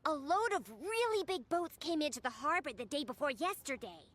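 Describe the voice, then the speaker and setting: An actor, a woman, playing an excitable, chatty young child in a video game, eager and bubbly. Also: high-pitched, normal speed, slight background noise. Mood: excited, cheerful. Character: excitable chatty little child, cheerful informant of a lady. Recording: game audio under prominent music.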